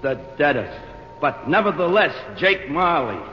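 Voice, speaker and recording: A long, echoing reverb wraps a wisecracking ghost in a muffled old radio drama. The male voice is highish and swoops about in slangy tough-guy talk, with faint music behind. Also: expressive, a little slow, a little hiss or hum. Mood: wry, needling. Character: wisecracking, chain-laden male ghost of dead business partner. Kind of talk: 1940s radio acting.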